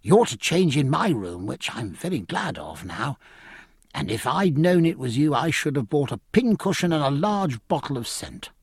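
A man's voice: fairly high pitch, very animated, moderate pace, slightly rough, dry recording, exaggerated voice. A man voicing an eager, boyish young midshipman in a storybook, gushing and breezy with old-fashioned naval slang. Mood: bold admiration.

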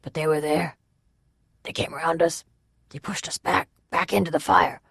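A storybook narrator voicing an exhausted, shaken man of about thirty, halting and repeating words in a hoarse, breathless voice. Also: mid-range voice, steady tone, a bit bright, dry recording, studio quality, exaggerated voice. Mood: dazed horror. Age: 30s.

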